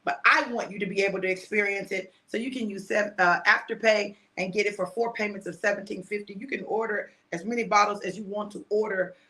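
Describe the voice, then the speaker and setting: A woman in her fifties, chatty and repetitive, mixing a prophetic word with a casual sales pitch and often asking "right?". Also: steady tone, fairly quick, hardly any pauses, bright, forceful tone. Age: 50s. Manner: chatty, repeats phrases, asks "right?". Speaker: preacher selling a product.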